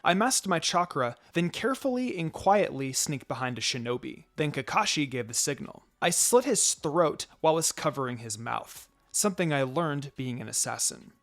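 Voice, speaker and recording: A man's voice: a little high, animated, normal speed, few pauses, fairly bright tone. A man reading a first-person fan fiction story as scripted narration.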